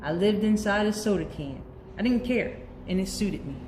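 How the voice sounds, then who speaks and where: A woman's voice: medium pitch, lively intonation, normal speed, natural voice. A woman voicing a moody but earnest bad seed in a children's storybook, telling his story confessionally and dramatically. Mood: sullen, defiant. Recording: roomy echo, faint background noise.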